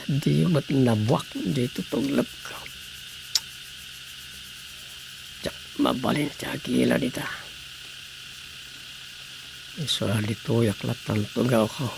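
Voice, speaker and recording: Wry village councilman, gravelly and unhurried, muttering skeptically, radio comedy with noisy backdrop. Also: fairly high pitch, darkish tone, exaggerated voice. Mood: muttering, weary. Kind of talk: comedy radio drama acting. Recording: clearly noisy radio drama recording.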